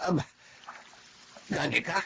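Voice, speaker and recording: A man playing a fussy, anxious household driver in a radio drama, gravelly and given to exclaiming. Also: takes it slowly, noisy background, natural voice. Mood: flustered. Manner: fretful, exclaiming. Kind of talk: Tagalog radio drama acting.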